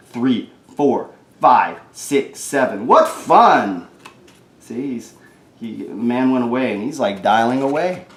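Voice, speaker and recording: A man reading a children's picture book aloud in an animated way, adding informal, chatty asides about the pictures. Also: mid-range voice. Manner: informal, chatty commentary on the pictures between read lines. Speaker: storybook reader. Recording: roomy, echoing sound.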